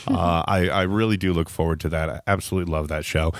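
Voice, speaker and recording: Male podcast host, lower voice, close dry sound; enthusiastic, rambling, conversational interviewer who repeats himself for emphasis. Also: steady tone, fast talker, no echo. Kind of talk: casual, chatty interview.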